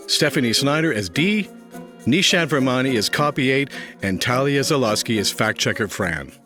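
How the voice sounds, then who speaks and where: A male announcer reads scripted credits. His mid-pitched voice is bright and forward, with soft music underneath.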